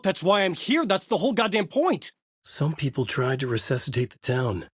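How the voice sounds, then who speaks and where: A man playing a brooding, haunted writer in a video game, narrating a dark noir thriller in grave, clipped, dramatic phrases with a husky voice. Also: mid-range voice, no room sound, clean studio sound, natural voice. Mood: agitated. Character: brooding, haunted male writer trapped in a nightmare.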